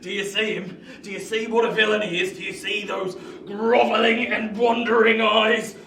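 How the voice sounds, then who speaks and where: An actor playing a rough, vengeful, defiant escaped convict in a radio play, with a forceful manner. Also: male voice, high voice, little pitch movement, moderate pace, exaggerated voice. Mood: furious, defiant. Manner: forceful, vehement. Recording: roomy, distant, faint noise.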